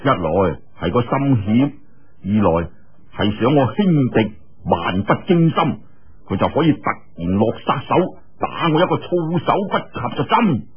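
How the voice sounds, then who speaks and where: A man's voice: medium pitch, sing-song, unhurried, breathy edge, lo-fi sound, voice pushed down, full character voice. A man voicing a suspicious, calculating martial-arts sect master muttering his inner thoughts in Cantonese, in an audio storybook.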